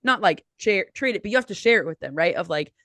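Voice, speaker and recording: A woman's voice: mid-range voice, lively intonation, quick pace, fairly bright tone, dry recording, clean studio sound. A woman hosting a podcast who asks long, rambling questions full of fillers. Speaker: podcast host. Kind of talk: casual interview chat.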